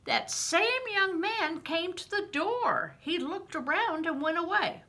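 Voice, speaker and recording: A woman's voice: very high voice, animated, slow pace, bright, forceful tone, voice pushed up, heavily acted. A woman voicing an anxious, watchful young boy in a storybook, speaking earnestly and insistently. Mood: worried. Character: anxious, watchful young boy narrating his medieval adventure.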